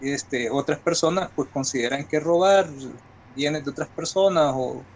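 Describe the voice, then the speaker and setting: A man with a Salvadoran accent talks as a writer being interviewed. His voice sits a little higher than average. He is colloquial and reflective, building his points from examples. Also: moderate pace, poor audio. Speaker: guest writer. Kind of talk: conversational interview talk.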